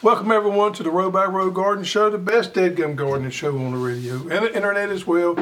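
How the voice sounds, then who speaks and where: A man hosting a garden radio show in casual chat, his voice slightly raspy as he recovers from illness. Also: high-pitched, fast talker, a bit bright, soft background music. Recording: distant mic, faint noise.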